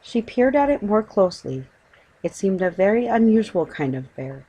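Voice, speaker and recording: A woman's voice: medium pitch, big pitch swings, moderate pace, mellow, breathy edge, low-quality recording. A woman reading a children's story aloud as scripted audiobook narration.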